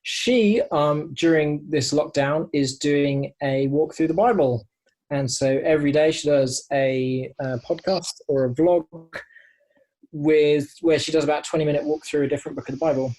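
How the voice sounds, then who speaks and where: Higher-voiced man chatting on a podcast. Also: normal speed, darkish tone, poor audio. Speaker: podcast co-host. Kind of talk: casual podcast chat.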